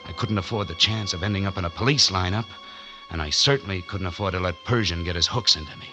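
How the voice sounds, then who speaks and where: An actor playing a broke, hunted man who narrates his own story in terse, slangy 1940s radio noir style. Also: deep voice. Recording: muffled vintage 1940s radio, music underneath.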